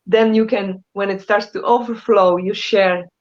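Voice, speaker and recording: A woman's voice: mid-range voice, steady tone, unhurried, muffled recording. A Polish woman, a therapist and guest, speaking earnestly in casual conversation. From Poland.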